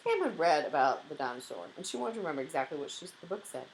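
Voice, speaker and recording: A woman's voice: low-pitched, big pitch swings, fast, a little hiss or hum, rough recording. A woman narrating a children's storybook aloud expressively, like a teacher reading to young pupils.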